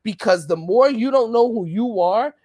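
A podcast co-host rants with heat and swears freely in casual political talk. The voice sits at a middling pitch. The recording is dry, with no room sound. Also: lively intonation, moderate pace, darkish tone, no echo. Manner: passionate, ranting, swears often.

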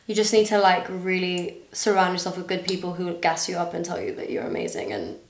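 A woman's voice: fairly low pitch, fast talker. Young woman, international school accent, casual giggly podcast guest, roomy sound. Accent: international school, partly American. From Dubai. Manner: chatty, giggly, trailing fillers. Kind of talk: casual chat. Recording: echoey room.

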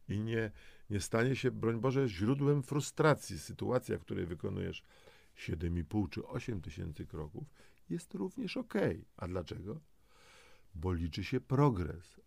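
Male co-host for a health audio show: low, gravelly, conversational, punches key words. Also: darkish tone, no echo. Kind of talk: conversational explainer addressed to the listener.

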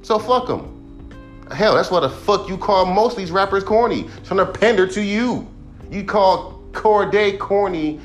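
Male podcast host, 30s, rapper; blunt profane rant, self-described monotone delivery, faint music bed. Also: sits high, unhurried. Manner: blunt, heated, heavy swearing.

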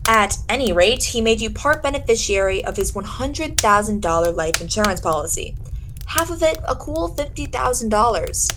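A woman playing a sharp, accusing detective in a 1940s-style radio drama, commanding and sardonic as she interrogates. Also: a little high, expressive, moderate pace, noisy background, natural voice. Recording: dramatic music behind the voice.

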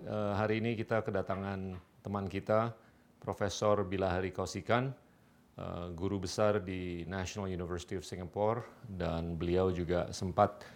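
A middle-aged man with a fairly low voice hosts the interview. He asks his questions haltingly, doubling back on words and filling pauses as he goes. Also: level tone. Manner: halting, repeats words and fillers. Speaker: host. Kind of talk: interview hosting.

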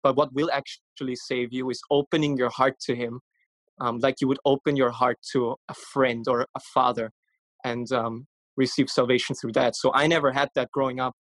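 Earnest young man from Austria, flat delivery. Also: mid-range voice, normal speed, no room sound, rough recording. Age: 20s. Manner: earnest, warm-hearted storytelling with fillers. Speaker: podcast guest. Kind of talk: casual testimony.

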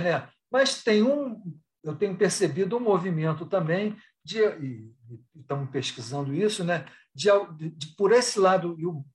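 A Brazilian man speaks in a somewhat high-pitched voice, as a researcher would in an online panel discussion. His delivery is unscripted and discursive. He moves haltingly through his thoughts, repeating words, rephrasing and dropping in small tag questions. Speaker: academic researcher and thesis supervisor. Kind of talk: spontaneous panel discussion in an online live talk.

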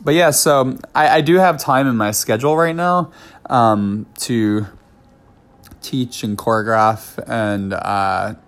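A man hosting his podcast, voice thick with a cold. He chats candidly in a middling pitch and keeps wandering into asides, ums and little self-corrections. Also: slow. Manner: chatty, candid, many fillers and self-asides. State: has a head cold. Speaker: podcast host. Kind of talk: casual solo podcast talk.

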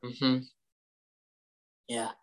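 A woman co-hosting a podcast in casual chat, laid-back, mostly giving short agreeing replies. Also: extremely slow. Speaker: podcast co-host.

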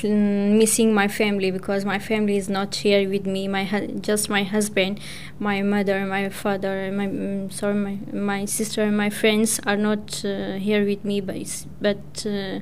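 A young woman from Pakistan, Persian her first language, talks slowly in careful, hesitant English. Her voice stays level and even, with little rise or fall. A faint hiss of noise sits behind her. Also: mid-range voice. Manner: hesitant, halting non-native English with fillers. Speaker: English student speaking on community radio. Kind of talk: prepared personal talk.

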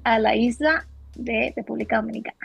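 A woman's voice: a little high, steady tone, a little fast, gravelly, husky, lo-fi sound, faint music behind. A young Mexican woman with a Mexican accent, a podcast guest chatting casually and laughing often as she tells her story.

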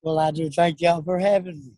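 A man's voice: a little high, fairly even intonation, brisk, mellow, dry recording. A middle-aged man, speaking earnestly and emphatically as a guest. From South Dallas, Texas. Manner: earnest, emphatic, plain-spoken. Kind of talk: casual interview talk, preaching.

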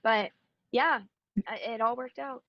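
A woman singer-songwriter with a raspy voice, chatting casually and upbeat in an interview with lots of ums and likes. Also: a little high, fairly even intonation, unhurried, no echo. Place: Nashville area. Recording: dull, dry lo-fi audio.

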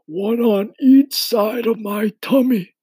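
High, slow old grasshopper gentleman. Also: breathy edge, no echo, studio quality, heavily acted. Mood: gentle teasing. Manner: leisurely, emphatic, old-fashioned gentlemanly phrasing. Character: old male grasshopper, kindly, proud, genteel lecturer.

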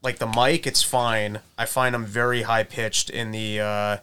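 A man's voice: level tone, measured pace, pushed and bright, a little hiss or hum. A man in casual chat about recording gear, rambling and correcting himself as he goes. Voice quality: says his voice is high-pitched. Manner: rambling, self-correcting, technical. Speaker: comedian recording his shows.

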